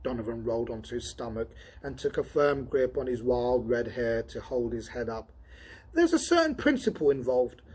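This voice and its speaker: A man's voice: medium pitch, flat delivery, normal speed, hardly any pauses, dark tone, slightly rough. A man hosting a storytime, introducing and narrating a science fiction story aloud. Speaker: storytime host and reader. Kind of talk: storybook reading with spoken introduction.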